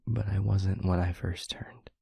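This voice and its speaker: A man playing a brooding, gentle vampire boyfriend in an intimate audio roleplay, speaking in a breathy, gravelly half-whisper with halting, earnest delivery. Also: low voice, fairly even intonation, no echo, clean studio sound, heavily acted. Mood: somber, reflective. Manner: halting, hushed, earnest, with long pauses. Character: brooding, gentle male vampire boyfriend confiding his past. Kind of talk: intimate audio roleplay, soft confessional monologue.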